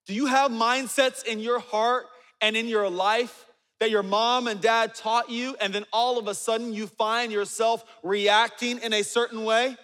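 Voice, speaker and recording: A man in his forties preaching a sermon, telling stories with humor and dropping low and quiet for asides. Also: steady tone, unhurried. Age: 40s. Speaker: pastor. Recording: reverberant hall.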